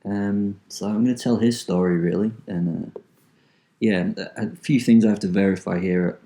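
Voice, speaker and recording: Man, Manchester accent, deep voice, very dark tone, casual sweary monologue with ums. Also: steady tone, moderate pace. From England. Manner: swearing, rambling, frequent ums. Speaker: podcast host. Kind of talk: casual monologue, reading a written story.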